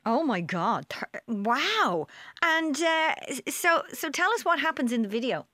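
A woman hosting a live radio interview, chatty and halting with frequent fillers. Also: sits high, big pitch swings, sharp tone, no echo, clean studio sound. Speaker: radio host. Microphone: studio microphone.

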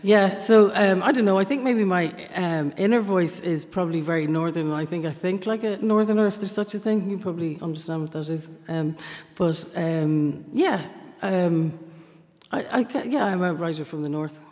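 A woman with a southern Irish accent talks about her background, pausing often and reaching for words. Her voice carries the long, ringing reverb of a large stone hall. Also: fairly low pitch, a little mellow. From Northern Ireland. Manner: hesitant, many fillers. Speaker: author guest. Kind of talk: literary discussion. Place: large marbled sculpture hall in a gallery.

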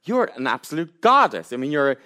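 A man hosts an interview show in a chatty, self-mocking way. His voice sits a touch high and swings widely in pitch, and he rattles along at a fast clip, often stammering as he restarts his sentences. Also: very animated, sharp tone. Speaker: talk show host. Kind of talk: lively interview chat.

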